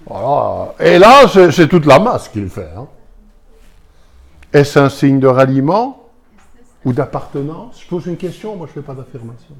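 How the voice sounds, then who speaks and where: Belgian pastor preaching with pointed questions. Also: male voice, a little high, very animated, moderate pace, vocal fry. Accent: Belgian French. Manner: fires rhetorical questions at listeners, emphatic. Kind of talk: preaching talk to a congregation.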